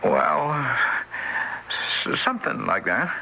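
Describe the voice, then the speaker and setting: A man's voice: mid-range voice, huge pitch swings, takes it slowly, creaky, sharp tone, slight background noise, exaggerated voice, poor audio. A man playing a timid, bumbling, apologetic ghost in a 1970s radio drama, hesitant and stammering, on an old broadcast recording.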